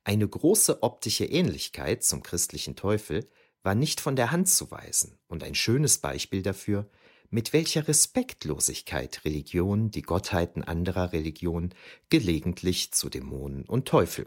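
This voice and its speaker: A man's voice: low voice, sing-song, moderate pace, a little mellow, heavily acted. A man playing a reflective ancient historian who narrates memories of youth in a scripted, wryly explanatory way for an audio drama. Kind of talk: scripted first-person narration.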